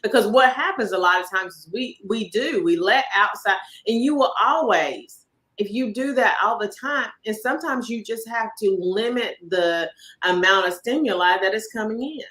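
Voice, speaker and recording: A woman hosting a casual interview chat, animated and repeating phrases for emphasis. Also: mid-range voice, normal speed. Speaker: podcast host.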